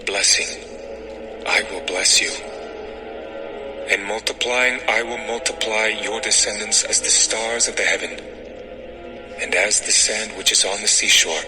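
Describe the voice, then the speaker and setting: Casting: male actor as heavenly messenger, low, slow, authoritative and stately, music behind. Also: steady tone, natural voice. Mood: solemn blessing. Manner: stately, proclaiming delivery. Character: angel of the Lord, solemn authoritative male messenger. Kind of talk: dramatized scripture audio. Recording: music under the voice.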